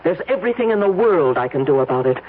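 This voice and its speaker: A woman's voice: low-pitched, big pitch swings, fast talker, phone-quality sound. A woman playing a domineering, bitter middle-aged mother in an old radio drama, with a cutting, theatrical delivery. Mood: cold, resolved. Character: domineering, bitter wealthy mother of a young bride. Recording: noisy, thin old radio recording.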